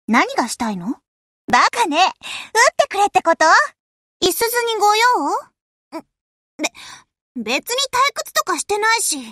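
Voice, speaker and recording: A woman voicing a proud, confident young warship girl in an anime game, with a brisk, haughty manner. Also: very high voice, fairly bright tone, dry recording, clean studio sound. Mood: scornful, then flustered. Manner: brisk, self-assured, haughty. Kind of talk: anime game character voice lines.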